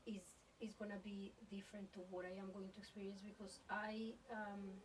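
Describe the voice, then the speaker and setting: A woman's voice: medium pitch, level tone, normal speed, distant mic, low-quality recording. A woman podcast host talks introspectively, feeling her way through phrases. Her voice sits in the middle range, a little away from the microphone, with faint background noise. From born in Colombia, grew up in Spain. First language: Spanish. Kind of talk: reflective interview conversation.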